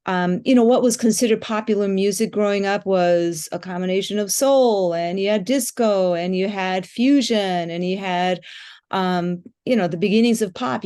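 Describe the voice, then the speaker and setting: A woman's voice: mid-range voice, a little mellow, clean tone. Female interviewee, musician, candid and reflective, conversational. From Japan and the United States. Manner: thoughtful, frank, with many fillers. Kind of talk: relaxed interview answers.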